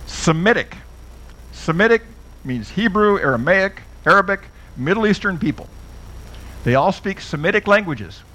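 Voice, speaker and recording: A man's voice: high voice, lively intonation, moderate pace, pushed and bright, rough recording. Man teaching a Bible class. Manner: conversational, asks the audience questions.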